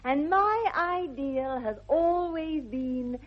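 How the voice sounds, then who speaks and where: A woman's voice: high voice, takes it slowly. An actress playing a self-assured young upper-class English society lady in a 1960s radio comedy, speaking with arch precision. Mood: assured, romantic. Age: young adult. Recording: slightly dull vintage radio recording.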